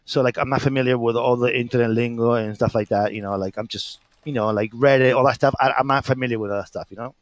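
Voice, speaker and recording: A man's voice: mid-range voice, fairly even intonation, quick pace, few pauses, no echo. A man in his late thirties, talking casually on a stream call, rambling with many fillers and blunt profanity. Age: 30s. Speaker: guest caller.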